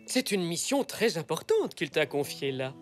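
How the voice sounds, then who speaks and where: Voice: medium pitch, very animated, moderate pace, soft background music, natural voice. A performer voicing an enthusiastic, florid grown-up zebra customer in a French children's cartoon.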